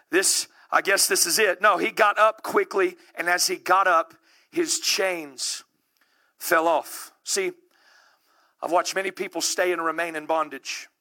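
A man in his thirties preaches with fervor, pushing up into shouts and calling out to the congregation. His voice sits high for a man. It has a very bright, ringing tone. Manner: impassioned, builds to shouting; calls out to the congregation. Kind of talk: sermon.

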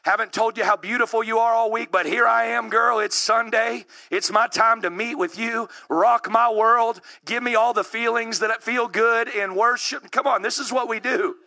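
A man in his thirties preaching a sermon with rising passion, calling out to the congregation and building to shouts. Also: extremely high pitch, steady tone, normal speed, gravelly, few pauses. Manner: calls out to the congregation.

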